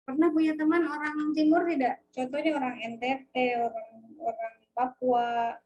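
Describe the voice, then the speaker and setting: A woman with a high voice teaches an online lecture over a video call. She keeps checking that students follow and prods them with questions. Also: steady tone, normal speed, a little mellow, low-quality recording. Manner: questioning, prompts students with frequent tag questions. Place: home, online class.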